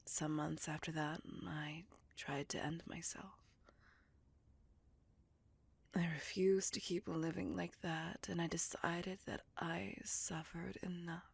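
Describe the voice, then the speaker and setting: Female vampire, played by a woman, wounded and bitter, for ASMR audio drama. Slightly low, heavily breathy whisper, intimate confessional delivery. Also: flat delivery, dry recording, exaggerated voice. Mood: bitter, resigned. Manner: slow, intimate, confessional storytelling. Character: beautiful, melancholy, bitter female vampire recounting her past. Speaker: voice actor in audio roleplay. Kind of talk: whispered ASMR roleplay monologue.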